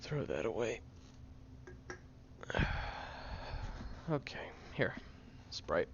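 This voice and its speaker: Man acting a very low gravelly grumpy tsundere guy. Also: big pitch swings, heavily acted. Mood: weary, caring. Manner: halting, sighing, grumpy and flustered. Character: gruff tired tsundere young man, secretly caring. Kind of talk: intimate audio roleplay, acted monologue to the listener. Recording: dull lo-fi, clear background noise.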